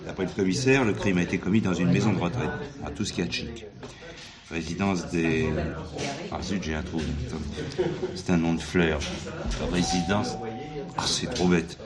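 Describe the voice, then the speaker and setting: A man's voice: deep voice, moderate pace, over a noisy crowd, close to an everyday voice. A man playing a deferential, slightly scatterbrained police subordinate with a familiar, hesitant manner in a French play. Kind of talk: French radio play acting.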